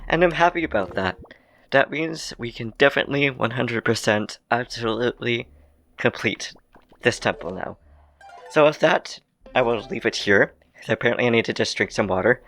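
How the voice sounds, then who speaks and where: A man's voice: medium pitch, normal speed, fairly bright tone. Rambling young man narrating his gameplay. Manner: rambling, self-correcting, laughs often. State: slightly phlegmy voice. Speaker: let's play gamer. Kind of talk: casual gameplay commentary. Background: faint game music.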